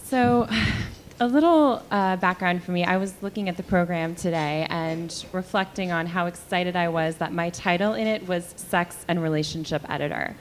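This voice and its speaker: A woman's voice: lowish voice, moderate pace, a little hiss or hum. A young woman speaking candidly as a panelist at a luncheon, conversational with frequent fillers. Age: young adult. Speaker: panelist, sex and relationship editor. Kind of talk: panel discussion remarks. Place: luncheon event hall.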